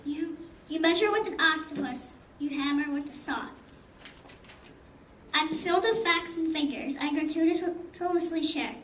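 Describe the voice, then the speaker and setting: A woman's voice: sits high, measured pace, a bit bright. A teenage girl playing a proudly silly female know-it-all, declaiming rhyming verse in a sing-song, theatrical way. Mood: proud, gleeful. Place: indoor room at a library performance. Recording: roomy, distant mic, faint noise.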